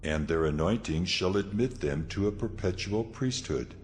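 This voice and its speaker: Male actor as divine voice of God, very low, solemn, declamatory, commanding, audio scripture drama, noisy ambient backdrop. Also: normal speed, lightly acted.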